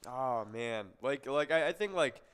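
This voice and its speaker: A young man from Texas co-hosting a live bingo stream, chatting in a lively, rambling way full of "like" and restarts. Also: medium pitch, unhurried, clear, resonant tone. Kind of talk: casual chat and game announcing.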